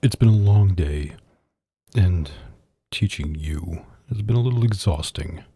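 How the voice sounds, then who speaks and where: Man playing a very husky, slow, commanding mafia boss. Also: low-pitched, dark tone, no room sound, full character voice. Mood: tired, possessive. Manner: slow, stern, menacing and controlled, with long pauses. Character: cold, commanding, possessive male mafia boss. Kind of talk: ASMR roleplay, intimate address to the listener.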